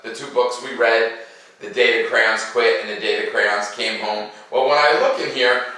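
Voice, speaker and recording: A man talking to children like a school teacher, playful and animated, explaining things in simple words. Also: mid-range voice, far from the mic. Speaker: school teacher hosting a read-aloud for children. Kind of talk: chatty talk to children, then storybook read-aloud. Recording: very echoey room, away from the mic.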